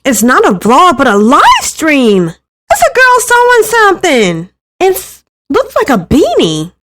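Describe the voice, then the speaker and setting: A woman voicing an excitable, incredulous teenage girl who exclaims questions to herself in a story reading. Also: high voice, measured pace, pitched above the performer's own voice, full character voice. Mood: astonished.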